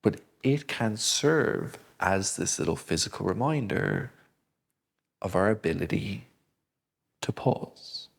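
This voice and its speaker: A man gently guiding a breathing exercise, speaking slowly and soothingly. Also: on the low side, animated, gravelly. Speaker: breathing instructor. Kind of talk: guided breathing lesson.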